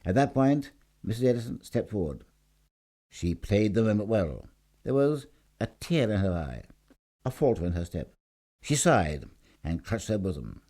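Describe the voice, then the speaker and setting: A man's voice: lowish voice, big pitch swings, moderate pace, pauses often, dark tone. A man reading a scripted short story aloud in a theatrical, emphatic storytelling manner. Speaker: story reader.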